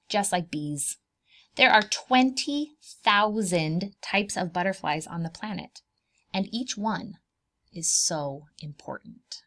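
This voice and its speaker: A woman hosting a read-aloud lesson for preschoolers, explaining things simply and expressively and putting questions to her young listeners. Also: lowish voice. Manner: expressive, emphasizing key words for small children. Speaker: children's read-aloud host and preschool teacher.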